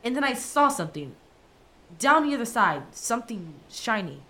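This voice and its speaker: A woman playing an eager, stubborn, excitable young boy in a radio play. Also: a little high, moderate pace, heavily acted. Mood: relieved, excitable.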